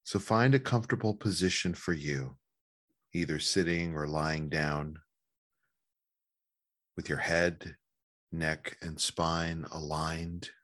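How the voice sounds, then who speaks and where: A man leading a guided meditation in a calm, gentle, measured manner with long pauses. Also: extremely low pitch, dry recording, clean studio sound.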